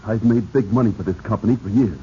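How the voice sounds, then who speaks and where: An actor playing a devoted, aggrieved husband with an earnest, intense manner in a 1940s radio drama. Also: male voice, lowish voice, fast talker, warm tone, natural voice. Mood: aggrieved, firm. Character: devoted, aggrieved husband, pressured company treasurer. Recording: muffled, noisy 1940s radio recording.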